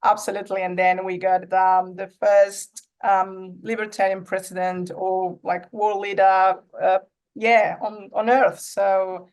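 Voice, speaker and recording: A woman in her thirties from Argentina with an Argentine accent, a Spanish native speaker, talking as a podcast guest in a casual, earnest way, often pausing with fillers as she searches for words. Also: medium pitch, little pitch movement, darkish tone. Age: 30s. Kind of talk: casual interview conversation.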